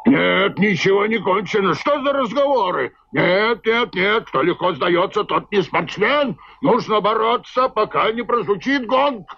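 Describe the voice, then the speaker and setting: A man's voice: high-pitched, lively intonation, measured pace, creaky, hardly any pauses, full character voice. A man voicing a plucky, stubborn, sporty bear in a cartoon film dub, speaking emphatically. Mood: defiant, rousing. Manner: emphatic, exclamatory. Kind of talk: animated film dub in Russian. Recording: noisy dull old film soundtrack.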